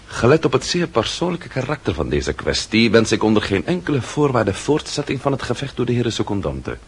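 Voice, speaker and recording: Cool aristocrat, very husky, expressive intonation, haughty, formal, radio costume drama. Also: male voice, mid-range voice, a little fast, heavily acted. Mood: firm. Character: cool, disdainful French marquis, reluctant duellist.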